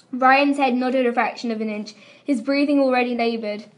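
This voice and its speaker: Young woman w/ a high voice reading fanfic out loud all dramatic, kinda giggly. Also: a little slow. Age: young adult. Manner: giggly, breaking into laughter while reading. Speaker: amateur reader. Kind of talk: dramatic reading of fan fiction. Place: room at a school.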